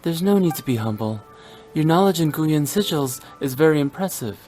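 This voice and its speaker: Casting: poised female game official, low-pitched, gracious and courteous, faint music underneath. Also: expressive, normal speed, dark tone. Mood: composed, courteous. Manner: composed, courteous. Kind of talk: animated game dialogue.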